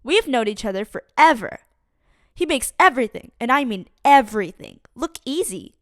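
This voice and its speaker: A woman giving a lively, expressive read-aloud of a children's picture book. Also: fairly high pitch, moderate pace, dry recording, clean studio sound. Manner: lively, expressive reading for kids.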